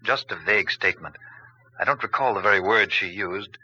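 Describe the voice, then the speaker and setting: Grave, forceful 1940s radio detective chief. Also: male voice, fairly low pitch, slightly rough, full character voice. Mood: grave, troubled. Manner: measured, serious, commanding. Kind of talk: 1940s radio drama acting. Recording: muffled 1940s radio broadcast.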